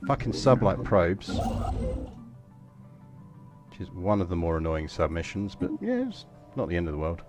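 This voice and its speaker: A deep-voiced English man talks his viewers through a strategy game in a loose, wandering way, poking fun at himself. Faint music plays underneath. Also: wildly swooping pitch, normal speed, long pauses. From England. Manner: rambling, chatty, self-deprecating. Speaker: game streamer. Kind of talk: casual live gameplay commentary.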